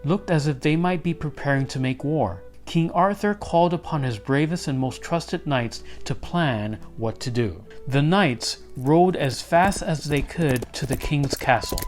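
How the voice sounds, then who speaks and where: A man reading a children's storybook aloud as an expressive narrator. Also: on the high side, a little slow, hardly any pauses, faint music behind.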